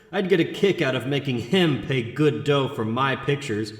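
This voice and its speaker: A man's voice: medium pitch, unhurried, lightly acted. A man voicing a plucky, wisecracking young superhero who talks to himself in a comic book dramatic reading. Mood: confident, excited. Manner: animated, talking to himself. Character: young wisecracking superhero photographer, self-critical but plucky. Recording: echoey, long reverb, music underneath.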